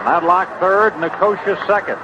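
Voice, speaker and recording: A man's voice: high-pitched, moderate pace. An American man calling baseball play-by-play on a radio broadcast. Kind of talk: live radio play-by-play commentary. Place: ballpark broadcast booth. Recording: dull, very noisy 1970s radio broadcast. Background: loud stadium ambience and noise.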